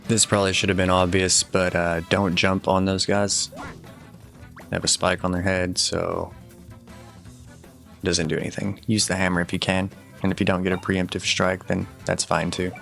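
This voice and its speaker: A man giving casual, matter-of-fact video game walkthrough commentary in a low-key instructional way. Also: monotone, normal speed, vocal fry, fairly bright tone. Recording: voice over game music. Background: video game music.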